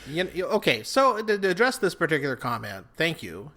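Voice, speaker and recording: A man's voice: fairly high pitch, sing-song, a little fast, pushed and bright. A man co-hosting a casual podcast, with dry, wry humor and frequent laughs. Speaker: podcast co-host and editor. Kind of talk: casual chat.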